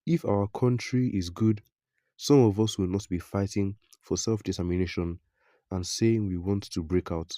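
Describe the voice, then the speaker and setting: A man narrating a news report in a scripted, even read, quoting an interview and reading out public comments. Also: fairly low pitch, moderate pace, dry recording, clean studio sound.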